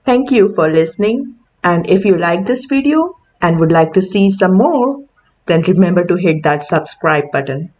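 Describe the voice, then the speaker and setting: A woman reading a picture book aloud to young children in a deliberate, teacherly way. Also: lowish voice, animated, a little mellow, lo-fi sound. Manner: deliberate teacherly delivery with questions and counting. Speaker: children's read-aloud host.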